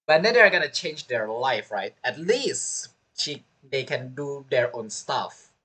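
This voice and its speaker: A woman's voice: very deep voice, big pitch swings, unhurried, fairly bright tone, slightly rough. A woman giving casual game commentary as a streamer, chatty and reacting aloud in loose fragments.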